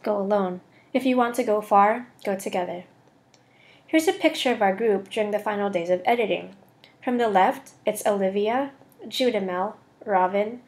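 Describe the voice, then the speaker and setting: A young woman student reading a scripted voice-over for a slide presentation. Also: mid-range voice. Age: young adult.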